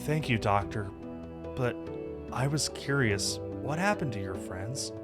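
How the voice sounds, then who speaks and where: A man's voice: medium pitch, animated, unhurried, loud music behind the voice, natural voice. A man playing an eager, lighter-voiced young adventurer. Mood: admiring, curious. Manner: earnest, polite, eager. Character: earnest young male furry adventurer, admiring and eager. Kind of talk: visual novel character read-aloud.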